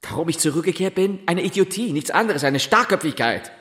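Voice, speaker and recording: An actor playing a tormented, self-reproaching husband in a German radio drama, halting and brooding with bitter outbursts. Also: male voice, on the high side, lively intonation, fairly bright tone. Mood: bitter, agitated.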